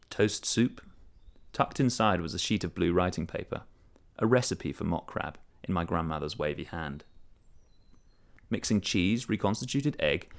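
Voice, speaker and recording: A man reading a short story aloud, telling it in a measured, wry first-person voice. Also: deep voice, creaky. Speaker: story reader. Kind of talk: scripted narration of a short story.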